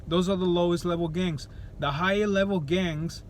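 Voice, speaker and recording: A man's voice: high voice, fairly even intonation, moderate pace, a little hiss or hum. A man from El Salvador talking straight to camera in an opinionated vlog, blunt and profane, often restarting his sentences. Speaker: YouTuber.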